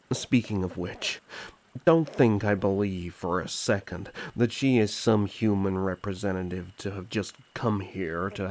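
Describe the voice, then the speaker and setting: A man acts out a proud elf prince with a lowish voice and a slow, deliberate pace. He is suspicious and demanding, haughty in the way of a fantasy drama royal. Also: natural voice. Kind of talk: fantasy audio drama roleplay.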